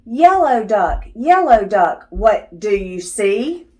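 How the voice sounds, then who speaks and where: A woman's voice: mid-range voice, big pitch swings, slow pace, dark tone. A woman reading a picture book aloud to young children in a sing-song, teacherly way, as the host of children's videos. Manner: teacherly, asking questions and affirming answers.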